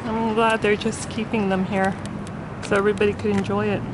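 A woman's voice: mid-range voice, flat delivery, fairly quick. Woman, 40s, travel vlogger, chatty, camera held at arm's length outdoors, heavy background noise. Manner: chatty, narrating while walking around. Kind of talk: casual travel vlog. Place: outdoors by a roadside. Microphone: handheld camera at arm's length.

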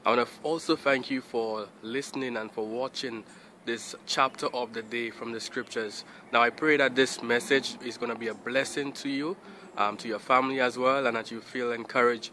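Bright-voiced young man vlogging outdoors. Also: mid-range voice, steady tone, moderate pace. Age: young adult. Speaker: host of Bible study videos. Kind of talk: casual direct-to-camera vlog greeting. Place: outdoors beside a building. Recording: outdoor traffic and ambient noise.

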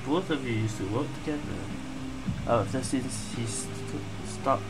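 A woman's voice: a bass voice, normal speed, low-quality recording. A woman giving casual gameplay commentary, hesitantly thinking aloud as she plays. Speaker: game streamer. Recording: loud game music behind voice. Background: loud game music.

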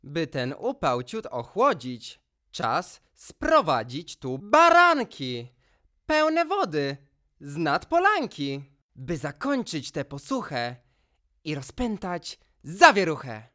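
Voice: mid-range voice, sing-song, slow pace, pushed and bright, full character voice. A performer voicing a mischievous, bored, grumbly boy storm spirit in a rhymed musical fairy tale for children. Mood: determined.

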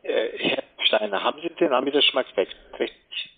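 A man's voice: medium pitch, expressive, brisk. A man making a phone sales call as a telemarketer, with a pushy, curt manner. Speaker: telemarketing caller selling electricity contracts. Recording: tinny phone line.

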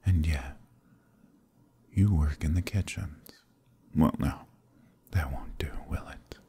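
Man doing a demon prince, very low, husky, hushed purring whisper, possessive and commanding. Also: takes it slowly, exaggerated voice. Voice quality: deep gravelly voice. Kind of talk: ASMR roleplay.

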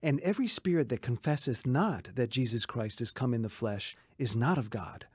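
Radio drama role: devout male coworker, sincere and persistent, slightly rough voice, lively intonation, dry recording. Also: medium pitch, moderate pace, dry recording. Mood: earnest. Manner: earnest, emphatic, recites scripture solemnly.

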